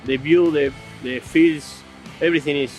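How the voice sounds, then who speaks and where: A man from Argentina with an Argentine accent, a polo player speaking halting English in a casual interview. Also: fairly high pitch, fairly even intonation, darkish tone. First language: Spanish. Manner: halting, non-native English. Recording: music behind the voice.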